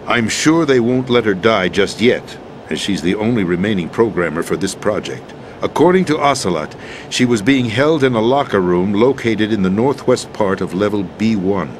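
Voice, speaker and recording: A man's voice: on the low side, animated, normal speed, slight background noise, lightly acted. An actor playing a resolute, urgent American president in a video game drama, solemn and commanding in his delivery. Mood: grave, urgent. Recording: loud music bed under voice.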